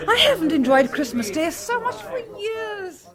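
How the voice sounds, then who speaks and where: Gracious opera singer, very high voice, lively intonation, polite, amused, radio comedy. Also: female voice, measured pace, crowd noise, exaggerated voice. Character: gracious, good-humoured woman opera singer.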